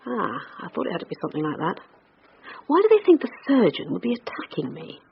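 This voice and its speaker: An actress playing a brisk, dogged British police detective in charge, reasoning aloud in a naturalistic radio drama. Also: female voice, medium pitch, sing-song, creaky. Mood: businesslike. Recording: dull radio recording with faint noise.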